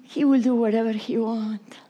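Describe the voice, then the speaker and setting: A woman pastor from Argentina with an Argentine accent, whose first language is Italian, preaching a sermon in halting, repetitive English that sometimes drops to a whisper. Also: a little high, fairly even intonation, mellow, muffled recording. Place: church service.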